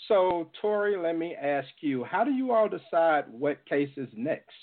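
A man's voice: fairly high pitch, very animated, a little slow. A man hosting a radio show, introducing guests and putting interview questions to them. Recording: narrow, tinny phone-like radio audio.

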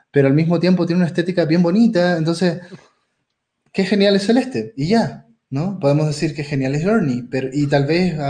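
A man's voice: a little high, mellow. A man co-hosting a casual discussion podcast, blunt and opinionated, often restarting his words. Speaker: podcast co-host.

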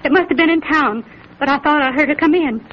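A woman's voice: high-pitched, fast, muffled recording, lightly acted. An actress playing an agitated young woman in a 1930s radio drama, on a scratchy old recording. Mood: anxious, explaining. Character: Lizzie Borden, agitated daughter reporting her father's killing.

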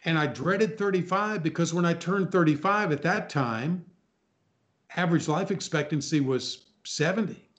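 A man in his sixties with a slightly high voice speaks as a guest in a casual chat. He reminisces conversationally and tends to repeat himself for emphasis. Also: normal speed, a bit bright. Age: 60s. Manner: chuckles, repeats phrases for emphasis. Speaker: talk show guest.

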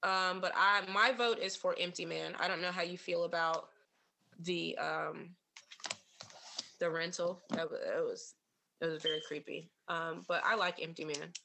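A woman's voice: on the low side, fairly even intonation, moderate pace, creaky, background noise. A woman co-hosting a podcast in casual chat, teasing and laughing easily, with many ums and a little tipsy. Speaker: podcast co-host.

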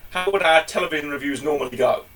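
A chatty, joking podcast co-host with a fairly high voice for a man. He sits a little back from the microphone, so he sounds slightly distant as he banters with his partner. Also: fast talker, a bit bright. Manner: chatty, jokey, often addresses co-host by name. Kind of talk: casual review chat.

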